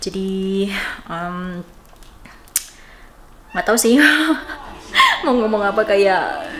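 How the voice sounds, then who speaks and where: A woman's voice: mid-range voice, very slow, a bit bright, a little hiss or hum. A woman from Indonesia, chatting casually to viewers in an informal, rambling, giggly vlog style. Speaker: vlogger.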